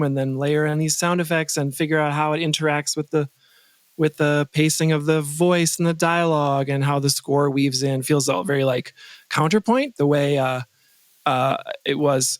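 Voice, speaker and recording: A man with a fairly high voice chats casually as an interview guest. He sounds enthusiastic and often stops and restarts while thinking aloud. The sound is very dry, with no room echo. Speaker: podcast guest, composer and sound designer.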